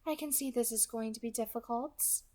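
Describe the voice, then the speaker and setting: Soft alto villainess, as a woman reads her. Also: a little high, moderate pace, pitched above the performer's own voice, lightly acted. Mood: coolly menacing. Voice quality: soft alto, pleasant and smooth. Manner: light, friendly, quietly threatening. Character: soft-spoken, menacing woman kidnapper, calm and polite.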